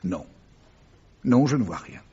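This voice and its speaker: A bereaved, shaken brother from a mining family in a radio drama. His voice is very raspy and rises and falls a lot, earnest and halting. The sound is muffled and lo-fi. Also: mid-range voice, lively intonation, dark tone, heavily acted. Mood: haunted. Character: shaken retired tin-mining man, bereaved brother and witness. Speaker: actor in a radio mystery play. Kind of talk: French radio drama acting.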